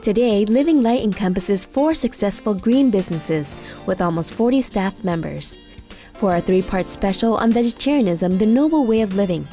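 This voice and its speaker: A woman narrates a documentary script in a measured, formal tone. Her voice is mellow, and light music plays under it. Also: mid-range voice.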